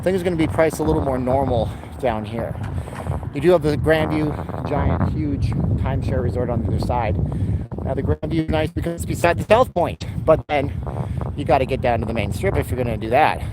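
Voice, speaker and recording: A man chatting casually as he walks and live streams on his phone, rambling and answering chat comments, with a dry throat he keeps clearing. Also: mid-range voice, expressive, brisk. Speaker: vlogger live streaming a city walk. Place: outdoors by a busy boulevard. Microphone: handheld phone. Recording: outdoor phone recording with traffic noise. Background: passing cars and street traffic.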